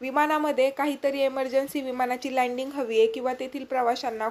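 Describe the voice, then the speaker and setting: High-pitched Maharashtrian woman explaining news. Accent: Marathi, Maharashtra. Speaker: vlogger reporting news.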